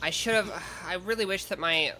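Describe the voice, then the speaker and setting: A man streaming a game, chatting casually in a rambling, self-correcting way, with sudden loud outbursts at the game. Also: high voice, fairly quick, noisy background. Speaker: vtuber streamer. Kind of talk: casual gameplay chat. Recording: game music playing behind.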